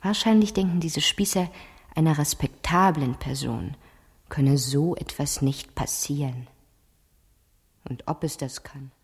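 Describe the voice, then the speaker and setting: A woman's voice: low voice, measured pace, a little mellow, breathy edge, full character voice. An actress playing an inquisitive, outspoken fifteen-year-old girl in a radio crime drama, probing insistently and directly. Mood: indignant, scornful. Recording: 1970s radio production with long reverb.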